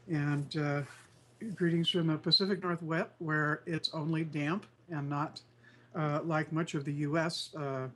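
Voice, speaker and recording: Congested male co-host, higher voice, nasal and croaky, meandering delivery. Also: fairly bright tone. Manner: rambling, many fillers and restarts. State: congested, recovering from flu. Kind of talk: casual news chat show.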